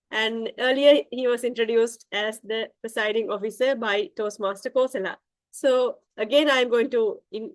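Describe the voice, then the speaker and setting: A woman's voice: on the high side, no room sound. A woman from Sri Lanka, speaking as a club meeting's general evaluator, friendly and with hesitant fillers. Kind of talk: online meeting hosting.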